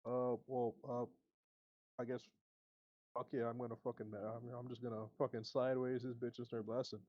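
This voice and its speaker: A man's voice: medium pitch, flat delivery, fairly quick, no room sound, highs cut off. A man playing a tabletop game, chatting casually in a blunt, sweary way. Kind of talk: casual gaming chat.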